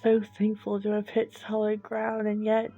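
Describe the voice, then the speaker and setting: A woman tells a suspenseful story at a very slow pace, breaking it into short, halting phrases. Her tone is dark and mellow with an even pitch. The recording is a little dull, with music behind her. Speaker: storyteller, host. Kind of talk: dramatic story narration.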